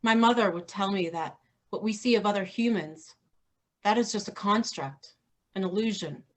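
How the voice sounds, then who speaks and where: Woman voicing a weary earnest heroine, reflective, mid-pitched, staged reading. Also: moderate pace, lightly acted.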